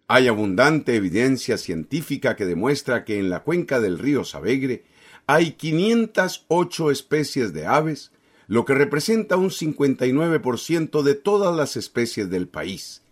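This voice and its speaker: A man with a Costa Rican accent presents a scripted opinion piece. His voice sits in a middle register and moves up and down with great animation. The delivery is rhetorical and emphatic, in the declamatory manner of an editorial commentator. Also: mid-range voice. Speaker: commentator and television program host.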